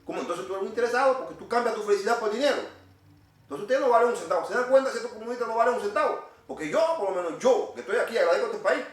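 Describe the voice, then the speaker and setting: A man's voice: high voice, rapid-fire. A middle-aged man with a Cuban Spanish accent, ranting to camera in an indignant, scolding, repetitive way. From Cuba. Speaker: vlogger. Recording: echoey room, distant from mic.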